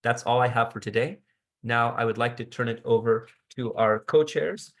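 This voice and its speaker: A man's voice: mid-range voice, moderate pace. A man moderating an online meeting, hesitant with many uhs and ums as he hands over to others.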